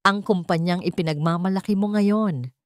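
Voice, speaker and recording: A woman's voice: on the low side, normal speed, no echo, natural voice. Lady doing angry betrayed rich guy in a radio drama, cold, accusing, dry sound. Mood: suppressed anger. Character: betrayed wealthy adult son, angry and wounded.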